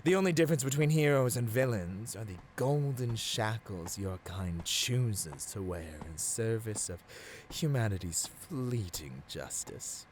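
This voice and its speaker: A man's voice: lowish voice, animated, a little slow, slight background noise. A man playing a seductive, arrogant supervillain in a theatrical ASMR roleplay, silky and grandiose, slipping into whispers. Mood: taunting, exultant. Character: seductive, arrogant male supervillain tempting a hero.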